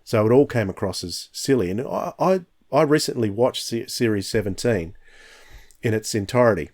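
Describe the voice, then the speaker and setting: A man in his fifties hosting a casual podcast discussion, his voice sitting on the low side. He talks with reflective enthusiasm, often restarting his sentences as his thoughts catch up. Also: animated, normal speed, a little mellow. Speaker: podcast host.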